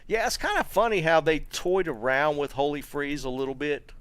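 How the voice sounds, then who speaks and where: A man's voice: on the high side, normal speed, clear, resonant tone, dry recording. A middle-aged man with a Southern American accent from North Carolina, chatting casually while streaming a game and answering viewer comments, with plenty of fillers and restarts. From North Carolina, USA. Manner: relaxed, rambling, many fillers and restarts.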